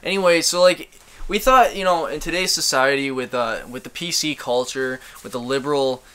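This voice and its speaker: A man in his twenties from Michigan, co-hosting a crude casual podcast chat, loud and profane with frequent laughter. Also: on the high side, moderate pace, clean tone. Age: 20s. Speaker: podcast co-host.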